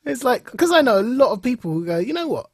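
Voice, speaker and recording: Husky man chatting casually. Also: extremely high pitch, big pitch swings, fast talker, no echo, studio quality. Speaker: podcast co-host. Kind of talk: casual chat about comics and film news.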